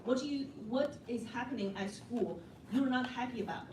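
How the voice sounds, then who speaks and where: A woman's voice: fairly high pitch, animated, normal speed, a little mellow. A woman on an advisory board addressing a community meeting in an earnest, rallying way. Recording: echoey room, distant mic, background noise.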